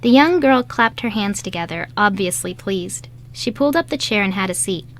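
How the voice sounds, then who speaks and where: Woman narrator reading a radio play script, medium pitched voice. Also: animated, moderate pace.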